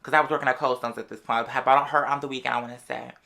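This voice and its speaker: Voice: medium pitch, fairly even intonation, very fast, vocal fry, sharp tone. A young adult with an American accent telling stories to viewers in a sassy, slangy, conversational way. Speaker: vlogger. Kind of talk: casual storytelling to viewers.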